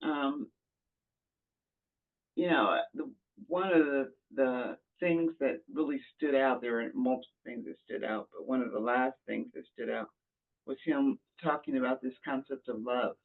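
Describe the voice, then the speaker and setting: A man giving reflective, conversational commentary in a halting way, repeating phrases for emphasis, over a tinny call connection. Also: mid-range voice. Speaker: book discussion participant. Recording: tinny, phone-like call audio.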